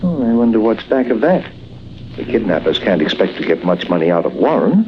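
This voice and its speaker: An actor playing a thoughtful, inquisitive doctor and confidant, musing in a 1940s radio drama style. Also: male voice, fairly low pitch, very animated, fairly quick. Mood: curious. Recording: muffled, noisy old-time radio recording.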